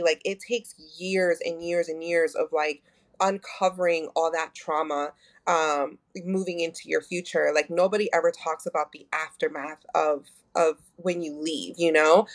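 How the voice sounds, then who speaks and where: A woman in her thirties with a Texan accent, talking openly as a podcast guest, full of fillers and acted-out quotes. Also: on the low side. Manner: open, animated, many fillers, acts out quotes. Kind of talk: candid conversational storytelling.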